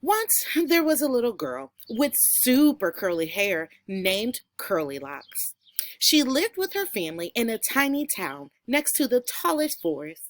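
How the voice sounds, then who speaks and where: A woman teacher reads aloud to young children with enthusiasm. Her pitch rises and falls widely and she takes her time, in a close, dry recording. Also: big pitch swings, measured pace, no echo. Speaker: second grade teacher reading aloud. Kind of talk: expressive children's storybook read-aloud.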